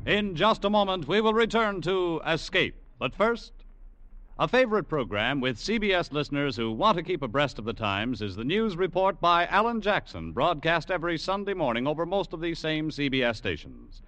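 A man's voice: fairly high pitch, big pitch swings, moderate pace, fairly bright tone, dry recording. A man with an American accent reading a scripted promotion as a polished, formal old-time network radio announcer.

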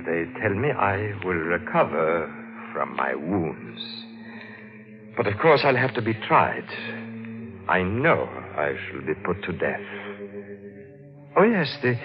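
An actor playing a deranged, menacing killer in a 1940s radio mystery, halting and melodramatic, repeating his words. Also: lowish voice. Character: deranged male killer, confessing, brooding and menacing. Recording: muffled old radio broadcast with music.

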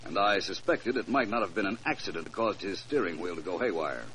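An actor playing a composed, urbane, shrewd private detective in a 1940s radio drama. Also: male voice, low-pitched, lively intonation, a little fast, a bit bright. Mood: cool, probing. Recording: noisy old radio recording.

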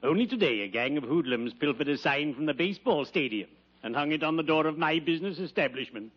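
An actor playing a gloomily courteous, pompous undertaker in old-time radio comedy, with formal, mournful diction. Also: male voice, fairly high pitch, animated, fast talker, breathy edge. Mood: solemn, droll. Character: gloomily courteous, pompous male undertaker fond of morbid puns. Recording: noisy, dull vintage radio recording.

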